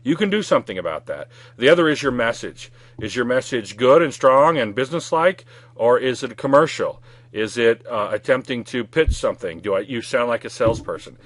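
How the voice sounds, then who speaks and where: A man's voice: medium pitch, lively intonation, a little fast, dry recording. A man giving an instructional sales training talk in a direct, conversational way, stressing his key points.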